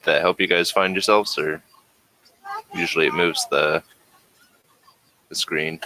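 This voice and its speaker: A man's voice: low voice, fairly even intonation, unhurried, bright, forceful tone, slight background noise, low-quality recording. A man acting as game master, talking casually through an online tabletop role-playing session.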